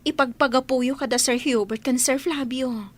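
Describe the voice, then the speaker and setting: High, husky, meek maid, played by a woman. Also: steady tone, normal speed. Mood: resigned, gentle. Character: meek, dutiful housemaid Felisa, resigned and gentle-tempered. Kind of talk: Ilocano radio drama acting.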